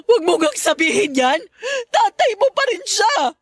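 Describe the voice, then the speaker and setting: A woman's voice: extremely high pitch, big pitch swings, no echo, studio quality. A woman with a Filipino accent playing a heartbroken middle-aged mother, tearful and clinging, in a radio drama. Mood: tearful protest. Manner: anguished, tearful, drawn-out pleading.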